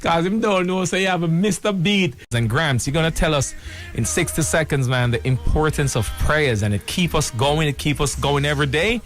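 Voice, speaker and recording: A man's voice: on the high side, expressive, normal speed, a bit bright, faint music behind. A man hosting a radio interview and cueing his guest with short encouraging prompts. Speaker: radio host.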